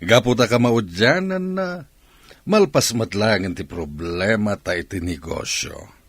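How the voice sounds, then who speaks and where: A man's voice: fairly low pitch, animated, a little slow, breathy edge, dry recording, full character voice. An actor playing a domineering, business-minded middle-aged father in an Ilocano radio drama, with an Ilocano accent. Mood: pleased, scheming.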